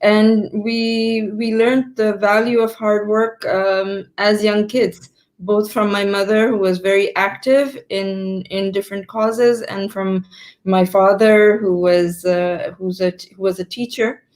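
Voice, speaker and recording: A woman in her fifties, born in Pakistan, answering interview questions on a video call, often pausing to rephrase her sentences. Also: mid-range voice, steady tone, darkish tone. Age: 50s. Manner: thoughtful, stops to rephrase mid-sentence. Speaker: guest entrepreneur.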